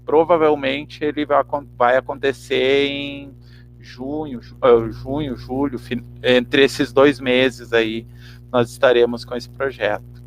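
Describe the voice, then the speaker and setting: A man, a theatre teacher answering in an online panel, speaking haltingly as he searches for words. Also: medium pitch, little pitch movement, faint music behind. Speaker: theatre workshop teacher and arts project producer. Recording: noisy online call with hum.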